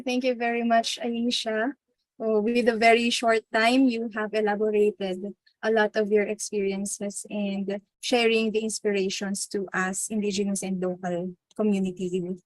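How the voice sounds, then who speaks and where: A woman's voice: medium pitch, no echo, low-quality recording. A woman moderating an online panel in a polite, apologetic and hesitant way. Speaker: session moderator. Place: video call.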